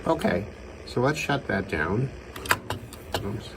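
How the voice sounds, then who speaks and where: A man with a very low voice talks through a hobby demonstration in a casual way. He takes his time and thinks aloud as he works. Clearly audible noise and static sit behind his voice in the room. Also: animated, slow. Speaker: radio hobbyist presenter. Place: indoors by a window.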